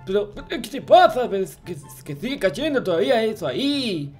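A performer voicing a childish, excitable, clueless noob in an improvised game roleplay, stammering and repeating words. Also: medium pitch, moderate pace, soft background music, close to an everyday voice. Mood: fretful, puzzled. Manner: repetitive, stammering, exclaiming. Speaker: gaming channel host.

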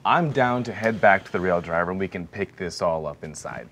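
Terse man with swooping pitch. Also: lively intonation, brisk, fairly bright tone, slight background noise. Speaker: tabletop game player. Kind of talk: in-character improvised roleplay. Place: indoor studio set.

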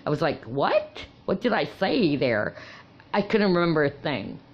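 A woman's voice: sits low, very animated, moderate pace, muffled recording. A woman whose first language is Tagalog, casually telling a personal story with frequent laughs and fillers. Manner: chatty, laughing often, many fillers and restarts. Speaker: crystal vlogger.

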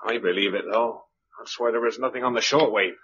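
Man acting a bright-voiced, plain-spoken survivor. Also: on the low side, a little fast, a little hiss or hum, natural voice. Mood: matter-of-fact. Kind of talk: 1950s-60s British radio drama acting. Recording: dull old radio broadcast recording.

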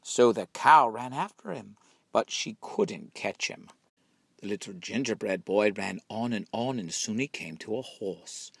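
Gravelly, animated man reading a storybook. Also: lowish voice, unhurried, no room sound. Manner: expressive, dramatic storytelling. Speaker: storybook reader. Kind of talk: scripted storybook narration.

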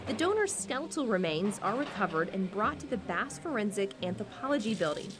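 A woman news reporter reading a scripted voice-over in a measured, deliberate way. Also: mid-range voice, big pitch swings. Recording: voice-over with music bed.

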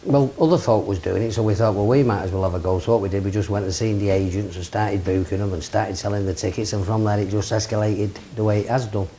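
A man's voice: lowish voice, fairly even intonation, fast talker, few pauses, warm tone, slight background noise. A middle-aged man, a boxing promoter talking plainly in an office interview. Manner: plain-spoken, rambling lists. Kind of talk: seated interview. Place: office with framed memorabilia. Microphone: lapel.

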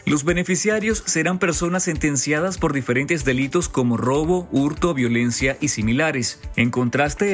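A man reading a scripted news voice-over in a steady, formal delivery. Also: a little high. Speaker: news narrator.

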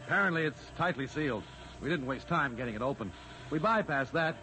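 An eager scientist sidekick, talks in brisk, plain 1950s radio drama style. The voice is a little high and very expressive. Faint noise sits in the old recording. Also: male voice, poor audio. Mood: matter-of-fact.

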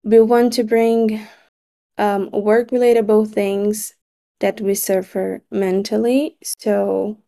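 A Brazilian-accented woman, Portuguese by birth tongue, facilitates a meeting over a video call. Her voice is mellow and soft-edged, and she speaks slowly and deliberately. She pauses often as she lays out the points. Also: mid-range voice, little pitch movement, creaky. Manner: measured, slightly halting with frequent pauses. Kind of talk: online meeting recap.

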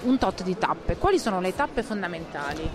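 A woman's voice: lowish voice, sing-song, moderate pace, crowd noise. A woman presenting and asking questions in a trade fair interview, on a handheld microphone. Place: trade fair stand indoors. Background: background music.

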